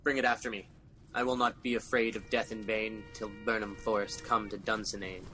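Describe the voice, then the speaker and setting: An actor playing an embittered, defiant tyrant king in a Shakespearean audio drama, declaiming between hushed brooding and roars. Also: fairly low pitch, flat delivery, a little fast, soft background music. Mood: defiant, commanding.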